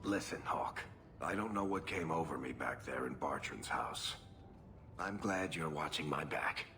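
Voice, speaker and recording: An actor playing a wry, weary dwarf adventurer in a video game, talking conversationally. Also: male voice, rumbling low, unhurried, pushed and bright, a little hiss or hum, exaggerated voice. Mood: wry, relaxed. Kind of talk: video game cutscene acting.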